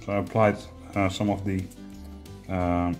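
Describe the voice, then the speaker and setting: A man in his forties explaining hands-on work casually to camera, halting as he thinks aloud. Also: low-pitched, flat delivery. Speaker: host of a boat DIY channel. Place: indoors, home living room and boat cabin. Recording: echoey room, music behind.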